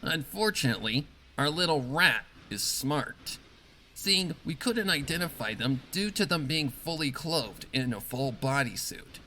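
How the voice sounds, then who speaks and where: A man voicing a calm, commanding female pegasus factory boss in a dark story, speaking formally and with measured authority. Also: a little high, a little hiss or hum, voice pushed up. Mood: calm, authoritative. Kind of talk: dramatic fan fiction reading.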